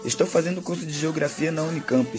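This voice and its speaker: A young man whose first language is Tucano, reading a short personal statement as a university student in a plain, measured way. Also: a little high. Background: clear background music.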